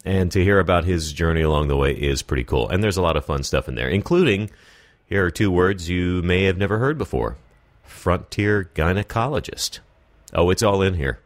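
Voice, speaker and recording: A man's voice: low-pitched, animated, normal speed, no echo. A middle-aged man interviewing casually as a podcast host, with a joking, teasing manner.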